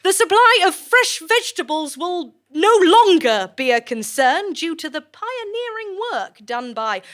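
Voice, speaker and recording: High-voiced, officious woman director. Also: a bit bright. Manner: formal, measured, officious speech-making. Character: formal, composed woman director of a sinister research facility. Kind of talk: audio drama speech to staff.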